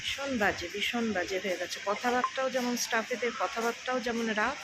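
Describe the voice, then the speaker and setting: Female daily vlogger, slightly high pitch, informal on-the-go chatter, noisy handheld sound. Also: brisk. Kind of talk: casual vlog chat. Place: out and about in streets and shops. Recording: noisy handheld vlog audio.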